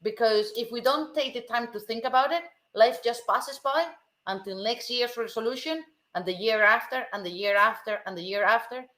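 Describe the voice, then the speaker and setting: A woman from Germany, co-hosting a casual discussion, speaking emphatically and slowing down to stress key words. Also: fairly high pitch, bright, forceful tone. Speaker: co-host. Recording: roomy video call audio.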